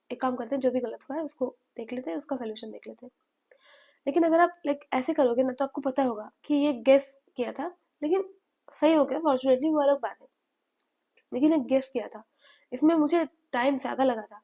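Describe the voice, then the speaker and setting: Young girl, high voice but mellow tone, bit hoarse from a cold, lots of ums, dull lo-fi sound. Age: young adult. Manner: hesitant, mixes in English, many fillers. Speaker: medical student giving study advice. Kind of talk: casual advice to viewers.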